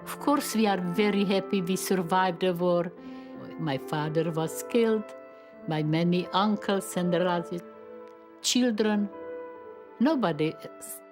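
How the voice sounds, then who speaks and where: An elderly woman in her nineties from Slovakia, with a Slovak accent, giving personal testimony in measured, halting phrases. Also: lowish voice, over music. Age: 90s. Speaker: Holocaust survivor and memoirist. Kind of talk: personal testimony, partly read.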